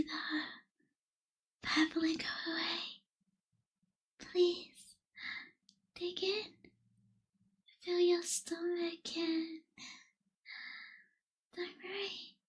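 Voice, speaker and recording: Woman voicing a very high, soft, slow vampire girl. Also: heavily acted. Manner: soft-spoken, often breathy and whispery, hesitant, tender. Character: gentle, caring young female vampire tending a prisoner. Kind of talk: ASMR roleplay, intimate scripted acting.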